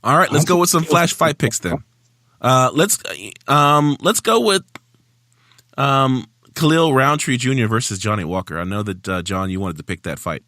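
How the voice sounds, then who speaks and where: A man's voice: mid-range voice, normal speed, bright, forceful tone, no room sound, clean studio sound. A man hosting a casual podcast, reading out a fight card, joking and laughing as he stumbles over names. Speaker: podcast host.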